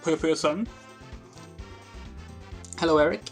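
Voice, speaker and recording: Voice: mid-range voice, slow pace. A game streamer giving casual, rambling, explanatory livestream commentary in a hesitant way. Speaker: game streamer, channel host. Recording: game music behind the voice.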